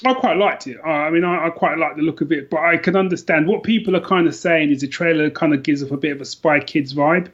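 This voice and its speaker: A man's voice: on the high side, fast, few pauses, lo-fi sound. A man talking casually and colloquially about books as a podcast guest. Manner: informal and colloquial. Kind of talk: casual book chat.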